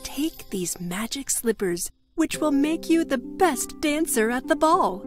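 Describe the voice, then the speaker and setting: Woman as a high-voiced kindly fairy godmother. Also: animated, a little slow, over music. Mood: kindly, reassuring. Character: gentle, kindly fairy godmother, motherly and reassuring.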